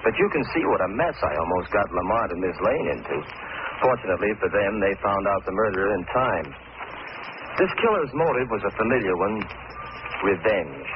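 A man's voice: fairly low pitch, sing-song, a little fast. An actor playing a chatty, self-satisfied condemned man narrating in folksy 1940s radio drama style. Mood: wry, reflective. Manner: folksy, conversational. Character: chatty, self-satisfied condemned male murderer telling his tale. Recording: muffled, hissy old radio recording.

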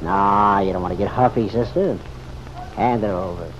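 An actor playing a folksy, slyly probing police officer in an old radio drama, with wry, slangy, unhurried questioning. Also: male voice, fairly low pitch, close to an everyday voice. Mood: wry, insistent. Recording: dull, noisy old radio recording.

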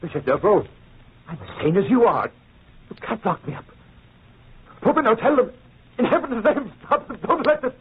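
A man's voice: very high voice, very animated, moderate pace, pauses often, slightly rough, exaggerated voice. An actor playing a desperate, pleading nobleman wrongly declared insane, in an old-time radio drama. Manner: frantic, pleading. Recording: tinny, muffled old radio recording.

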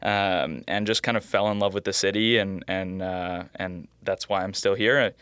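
A young man from Hawaii with a fairly low, smooth voice, recorded close with a dry sound. He tells upbeat anecdotes as a startup co-founder in a relaxed interview, a little jet-lagged. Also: normal speed, no room sound. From Oahu, Hawaii. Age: young adult. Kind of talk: casual interview answers.

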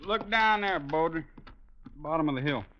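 A man's voice: fairly high pitch, expressive, normal speed, slight background noise, natural voice. Guy acting cocky young american outlaw kid, western radio drama, folksy talk, muffled old recording. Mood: casual, menacing. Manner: folksy drawling frontier talk. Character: cocky eighteen-year-old Wild West outlaw gunslinger.

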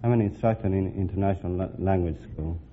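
A man's voice: deep voice, quick pace, phone-quality sound. A man from Persia, a native Persian speaker, giving short interview answers as a contestant. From Persia (Iran). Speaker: quiz contestant, language instructor. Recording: noisy thin vintage radio recording.

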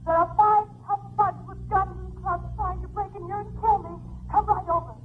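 A shrill frantic caller. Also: male voice, fairly even intonation, moderate pace, faint music behind. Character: frantic high-voiced caller in danger. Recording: tinny old radio broadcast.

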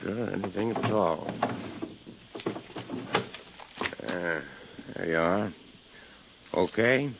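An American actor playing a big, smug, bullying town boss with curt, self-assured menace in a 1950s radio drama. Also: male voice, low-pitched, slow pace, gravelly, very noisy, exaggerated voice. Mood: brusque. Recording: muffled 1950s radio recording.

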